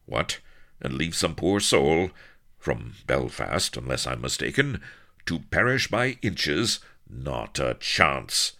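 Man playing a priggish, unflappable English gentleman hero. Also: a bass voice, very animated, takes it slowly, creaky, exaggerated voice. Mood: calm, resolute. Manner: cool, prim, stiff-upper-lip delivery.

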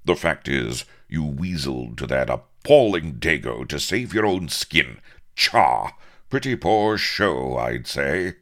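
A man's voice: very deep voice, lively intonation, slow pace, gravelly, a bit bright, full character voice. A man voicing a haughty, hot-tempered upper-class young English captain with pompous, archaic speech in a comic audiobook. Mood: angry, disdainful.

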